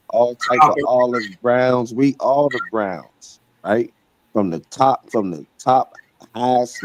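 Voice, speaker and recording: A man holds forth on a live online panel, pressing his argument with a mellow, low-key tone. He keeps checking in with his listeners as he drives each point home. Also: no echo, low-quality recording. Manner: emphatic, repeats "right?" and "you know what I'm saying". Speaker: debate panelist. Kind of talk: heated debate talk.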